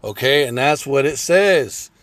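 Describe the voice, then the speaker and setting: A man's voice: medium pitch, lively intonation, measured pace, fairly bright tone, no echo. A man giving a blunt, profane religious commentary in a casual ranting style, swearing heavily.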